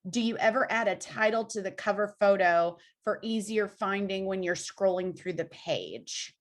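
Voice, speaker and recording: A woman's voice: medium pitch, normal speed. A woman moderating a webinar over a video call, relaying questions in a chatty, friendly way.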